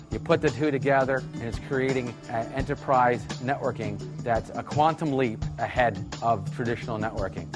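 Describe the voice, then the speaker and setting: Man talking up company products, medium pitch, guitar music behind. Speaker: company spokesman. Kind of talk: promotional interview remarks.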